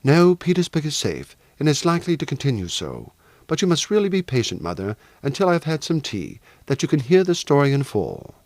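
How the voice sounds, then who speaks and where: A man voicing a brave, weary, good-humoured young gentleman soldier in an audiobook novel. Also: fairly high pitch, huge pitch swings, fairly quick, dry recording. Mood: weary, wry.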